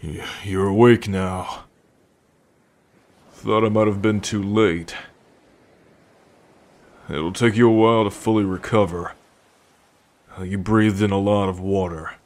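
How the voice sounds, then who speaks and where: Guy doing a merman for asmr roleplay, lowish voice, really slow, wary n curious, kinda hesitant. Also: fairly even intonation, a little mellow. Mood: cautious relief. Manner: slow, hesitant, questioning delivery. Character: wary, curious young merman, gruff rescuer. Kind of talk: ASMR roleplay addressed to the listener.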